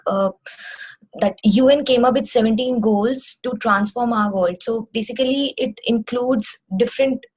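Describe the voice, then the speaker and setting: A woman's voice: on the high side, measured pace, a little mellow, breathy edge, rough recording. A young woman from India, a recent business graduate, presenting a webinar in a hesitant way. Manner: hesitant, with fillers and restarts.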